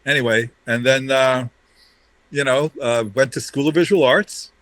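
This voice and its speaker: A man's voice: medium pitch, normal speed, a bit bright, no room sound, low-quality recording. Brash middle-aged man telling stories. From Romania, raised in Brooklyn. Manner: brash, joking storyteller. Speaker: interview guest, ad creative director. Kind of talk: casual storytelling.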